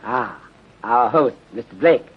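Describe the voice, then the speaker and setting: An actor playing a polite, patient police inspector in a 1930s radio serial, speaking formally and measuredly with clipped grammar that drops articles. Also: male voice, mid-range voice, animated, a little slow, slightly rough. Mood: courteous, considerate. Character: polite, patient Chinese-born police detective from Honolulu. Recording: muffled, noisy 1930s radio recording.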